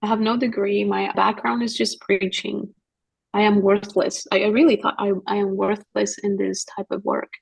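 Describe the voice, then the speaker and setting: Husky, gravelly-voiced woman telling stories. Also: medium pitch, darkish tone, low-quality recording. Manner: earnest, storytelling, quotes others in dialogue. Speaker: guest missionary. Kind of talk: podcast conversation.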